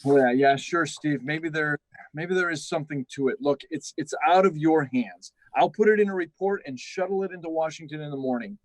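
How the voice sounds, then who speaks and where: A man's voice: a little high, brisk, no room sound, natural voice, poor audio. Dry-sounding, skeptical military man played by an actor. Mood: placating, exasperated. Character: skeptical, gruffly protective male colleague of the pilot. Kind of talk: live performance of 1950s sci-fi radio drama.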